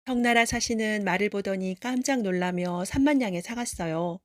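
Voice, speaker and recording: A woman storyteller narrates a children's folktale. Her pitch is mid-range and her phrasing is that of a picture-book reader. The recording is dry, with almost no room sound. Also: normal speed, no room sound.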